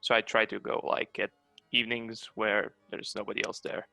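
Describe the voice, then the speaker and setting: A man's voice: lowish voice, flat delivery, moderate pace, creaky, pushed and bright, dry recording. A man from Croatia, chatting casually as a podcast guest. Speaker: podcast guest, comic artist. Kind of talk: casual chat.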